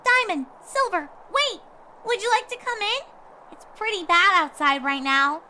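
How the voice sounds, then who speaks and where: A woman voicing an earnest, excitable, easily exasperated little pony filly in a girlish cartoon style, for a story reading. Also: very high-pitched, a little slow, a little hiss or hum, voice pushed up, heavily acted. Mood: earnest, polite. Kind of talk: cartoon-style character reading of fan fiction.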